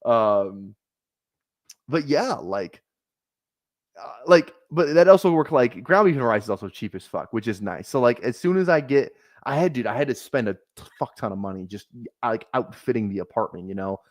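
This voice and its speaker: A man's voice: medium pitch, expressive, moderate pace, no room sound, studio quality. A man in his twenties chatting casually on a fantasy football livestream, rambling, swearing often and laughing at himself. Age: 20s. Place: small apartment room.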